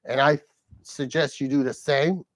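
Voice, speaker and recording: A man's voice: medium pitch, fairly even intonation, measured pace, no room sound. Earnest Iranian-born man, dry sound. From Iran. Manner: earnest, repeats phrases, many fillers and restarts. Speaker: host. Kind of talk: solo live commentary.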